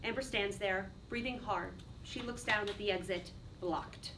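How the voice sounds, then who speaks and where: A woman's voice: medium pitch, a little slow. A woman reading stage directions as a scripted dramatic narrator. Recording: distant mic, faint background noise.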